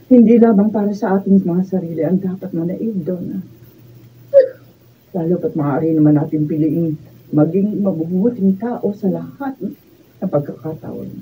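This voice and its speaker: Very dark-voiced, forgiving Filipino mother played by actress. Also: on the low side, slight background noise, close to an everyday voice, rough recording. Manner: measured, solemn, gentle. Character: resigned, forgiving, long-suffering mother. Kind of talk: Filipino radio drama acting. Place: radio drama recording studio.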